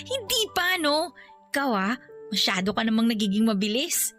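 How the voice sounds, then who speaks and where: Young Filipino niece, woman, sweet, gentle, reassuring, fairly high voice, radio drama, faint music behind. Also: big pitch swings, measured pace. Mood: reassuring, playful. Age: young adult. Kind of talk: Tagalog radio drama acting.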